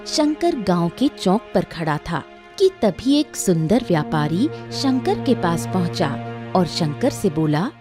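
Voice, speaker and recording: Female cartoon narrator: unhurried, highly varied intonation, reading a scripted story. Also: big pitch swings, music in the background.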